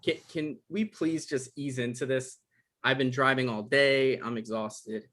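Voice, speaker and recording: A man acting an evasive, awkward novelist in a comedy-drama table read, halting and defensive, with stammers. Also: medium pitch, steady tone, a bit bright, natural voice. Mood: weary, guarded. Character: Michael, evasive, awkward novelist visiting his ex.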